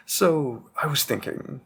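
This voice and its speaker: A man playing a long-lived, old-fashioned bookstore keeper in a roleplay audio drama, polite, rambling and gently formal as he addresses the listener. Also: on the high side, vocal fry, slightly rough, heavily acted.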